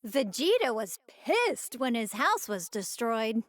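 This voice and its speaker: A woman's voice: fairly high pitch, very animated, takes it slowly, no room sound, studio quality. A woman voicing a spunky, outspoken young woman in an anime video game, girlish and candid. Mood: uneasy. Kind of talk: animated game voice acting.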